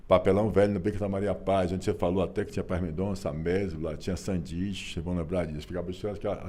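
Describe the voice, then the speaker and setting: Deep-voiced man in his 60s. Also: mellow. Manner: folksy, repeats phrases, frequent rhetorical tags. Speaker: interview guest, author and businessman. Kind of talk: relaxed interview storytelling.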